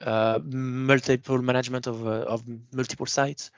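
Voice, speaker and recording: A man with a mid-pitched voice presents a product webinar in an informal, explanatory way. He leans on key words as he makes his sales points. The sound is dry, with very little room in it. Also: flat delivery, normal speed, smooth, no echo.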